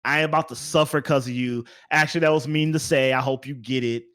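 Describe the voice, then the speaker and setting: A man's voice: medium pitch, fairly even intonation, quick pace, fairly bright tone. A man hosting a casual podcast chat in a playful, teasing, slangy way. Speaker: podcast host.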